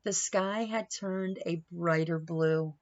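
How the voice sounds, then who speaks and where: A woman reading a picture book aloud in an animated, dramatic manner, pausing for asides and questions to young listeners. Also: on the low side, dull audio. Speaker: read-aloud storyteller.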